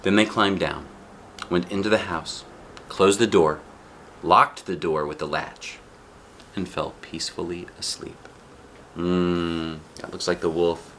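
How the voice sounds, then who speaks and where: A man reading a storybook aloud to a child, with dramatic drawn-out phrasing and casual asides. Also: low-pitched, steady tone, fairly bright tone, slight background noise. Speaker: dad reading a picture book to a child.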